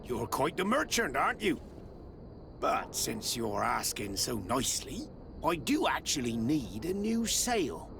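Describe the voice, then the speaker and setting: An actor playing a gruff, wily old sea captain in a video game, with gravelly speech. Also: male voice, medium pitch, big pitch swings, unhurried, noisy background. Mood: pleased, obliging. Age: older. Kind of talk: video game cutscene acting.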